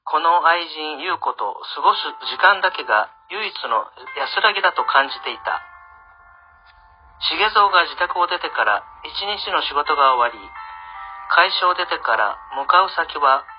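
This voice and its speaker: A man's voice: a little high, lively intonation, moderate pace. A man hosting and narrating on a radio program, presenting in a scripted style. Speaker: radio host and drama narrator. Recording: dull lo-fi radio sound, faint music.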